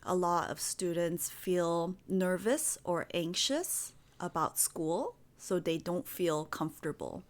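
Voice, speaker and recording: Slow, gentle young woman from the United States. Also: lowish voice. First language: Korean. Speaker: guest English teacher. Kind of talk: friendly interview answers.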